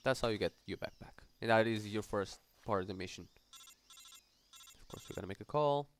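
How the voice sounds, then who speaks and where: A man's voice: lowish voice, fairly quick, slight background noise. A man giving casual, somewhat hesitant and rambling video game walkthrough commentary as he plays. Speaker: gaming video host.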